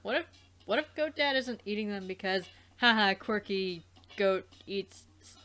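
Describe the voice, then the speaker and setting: Animated woman chatting casually, halting. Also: mid-range voice. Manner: halting, searching for words. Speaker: let's play co-host. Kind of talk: casual chat, reacting to a game.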